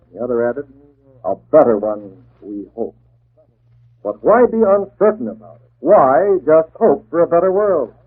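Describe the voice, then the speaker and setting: An actor playing a stern masked vigilante with clipped, commanding delivery in 1940s radio drama style. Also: male voice, a little high. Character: stern masked vigilante posing as a crime boss. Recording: muffled, scratchy 1940s radio broadcast.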